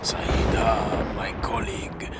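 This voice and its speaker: Dying foreign soldier, slow and gasping, hurting, loud game soundtrack behind. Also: male voice, fairly low pitch, fairly even intonation. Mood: bitter, despairing. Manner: broken English, gasping phrases. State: weak, in pain. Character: wounded, bleeding Egyptian soldier, despairing and bitter. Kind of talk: dramatic video game cutscene acting. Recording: loud cinematic music behind.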